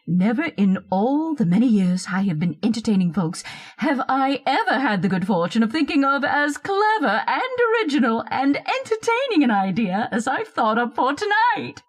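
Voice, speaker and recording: High, gushing, pompous society hostess. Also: female voice, very animated, normal speed. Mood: gushing, self-satisfied. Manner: theatrical, affected, self-satisfied. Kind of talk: old-time radio horror-comedy acting.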